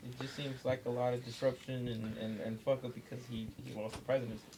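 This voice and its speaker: A man's voice: mid-range voice, flat delivery, fast talker, darkish tone, slight background noise, low-quality recording. A man co-hosting a podcast in casual group chat, earnest and repeating himself, swearing freely. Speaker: podcast co-host.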